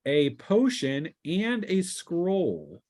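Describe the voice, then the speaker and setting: A man narrating a tabletop game as game master, improvising descriptions haltingly and thinking aloud. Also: high-pitched, very animated, a little mellow.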